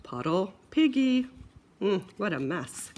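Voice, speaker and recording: A woman's voice: mid-range voice, big pitch swings, takes it slowly, a little mellow, a little hiss or hum. A woman reading a children's picture book aloud in a playful, sing-song way, with chatty asides. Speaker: storyteller.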